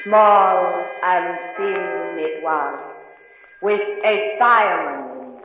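A man's voice: high voice, very slow, over music. A man acting a solemn, doom-laden teller of ancient legend in a 1950s radio drama, grave and incantatory. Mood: solemn, mystical. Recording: cavernous echo, tinny 1950s radio recording.

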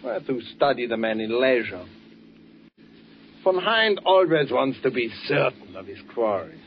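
A sly official from a 1940s radio thriller. The voice is medium in pitch, with a smooth, insinuating menace. The old recording is muffled, with faint noise. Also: male voice, big pitch swings, measured pace. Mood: sly, probing. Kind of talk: 1940s radio drama acting.

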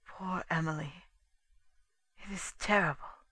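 A woman's voice: on the low side, measured pace, sharp tone, husky, no echo, exaggerated voice. A woman voicing a distressed, defensive widower in an audiobook, with a wounded, protesting, melodramatic manner. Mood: mournful. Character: indignant, distressed widower, sorrowful and defensive man. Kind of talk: dramatic audiobook character dialogue.

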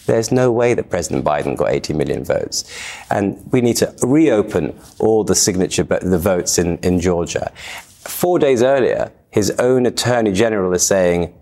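English male filmmaker, low voice, earnest interview guest who stumbles over a word now and then. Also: darkish tone. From England. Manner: earnest, storytelling, with some stumbling repeats. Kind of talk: TV interview answers.

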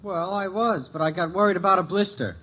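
An actor playing a lazy teenage son who rambles and makes excuses in a 1950s radio sitcom. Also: male voice, high-pitched, brisk, breathy edge. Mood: sheepish. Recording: dull vintage radio broadcast.

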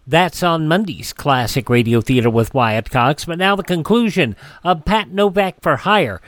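A man's voice: on the high side, expressive, normal speed, fairly bright tone, dry recording. A man hosting a radio show, reading scripted news items and announcements.

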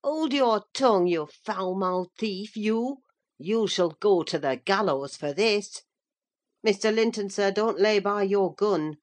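A woman's voice: mid-range voice, slow pace, lightly acted. A woman voicing a wild, defiant boy hurling insults in an audiobook. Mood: furious. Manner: shouting insults.

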